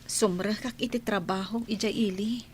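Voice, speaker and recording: An actress with an Ilocano accent playing a sickly, meek young daughter in a radio drama, in a weak, subdued way. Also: female voice, mid-range voice, fairly even intonation, normal speed, a little hiss or hum, close to an everyday voice. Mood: confiding.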